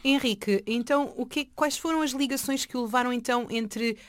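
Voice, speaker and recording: A woman with a fairly high voice, asking a guest questions in a friendly, curious way. Her voice sounds close and dry, with no room around it. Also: a little fast, no room sound. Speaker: interviewer. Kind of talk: conversational interview.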